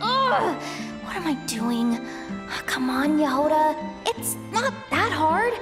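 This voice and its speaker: A woman's voice: sits high, big pitch swings, takes it slowly, exaggerated voice. A woman voicing an awkward, easily flustered youngster in a video game, blustery and hesitant. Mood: flustered grumbling. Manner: blustery, self-conscious, hesitant mumbling to herself. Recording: game music playing behind. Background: game music.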